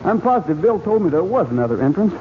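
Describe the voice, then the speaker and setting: A man playing a calm, level-headed cowboy hero in a radio western, with an American accent and plain easygoing talk. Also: sits high, quick pace. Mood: steady, cautious. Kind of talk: 1940s radio western acting. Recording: muffled, noisy old radio recording.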